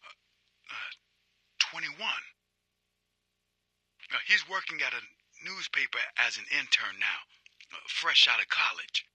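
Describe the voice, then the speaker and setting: An actor playing a guilt-ridden, obsessive middle-aged detective in a video game, husky and halting, in a brooding, intense monologue. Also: male voice, medium pitch, sing-song, pushed and bright, no room sound, full character voice. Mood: wistful, regretful. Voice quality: husky, raspy.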